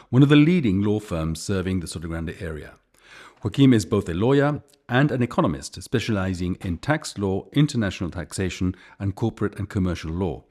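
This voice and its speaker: Male podcast host, lowish voice, chatty, stumbles and repeats words while asking questions. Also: darkish tone. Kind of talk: casual interview.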